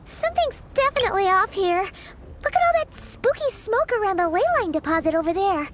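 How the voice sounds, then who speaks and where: A woman voicing a tiny, childlike fairy companion in a video game, excitable, blunt and chatty, full of exclamations. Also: very high-pitched, brisk, faint music behind. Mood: wary, eager. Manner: exclaiming, chatty, refers to herself by name.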